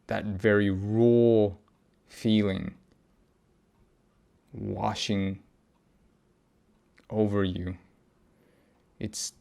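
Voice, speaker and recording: Slow, gravelly low-voiced man reflecting. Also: a little mellow. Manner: halting, searching for words, often pausing mid-thought. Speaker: podcast host. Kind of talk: reflective personal audio log monologue.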